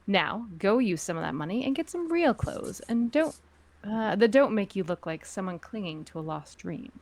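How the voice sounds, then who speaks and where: A woman playing a cool, condescending female crime syndicate spymaster in a sci-fi script read, with quiet threat in her measured delivery. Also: mid-range voice, very animated. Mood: commanding, threatening. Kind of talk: script table read of sci-fi fan drama.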